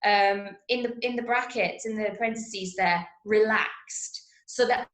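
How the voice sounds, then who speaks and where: A woman's voice: mid-range voice, fairly even intonation, measured pace, a bit bright. A woman from Manchester with an English accent, teaching an interactive drama workshop online in an encouraging manner. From Manchester, England. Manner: encouraging, praising students' answers. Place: indoors, on a video call. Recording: roomy, echoey sound.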